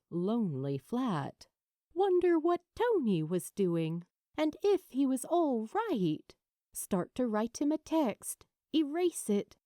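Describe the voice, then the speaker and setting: Slow, expressive woman narrating fiction. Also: a little high, dry recording, clean studio sound. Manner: measured, expressive reading. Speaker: amateur audiobook narrator.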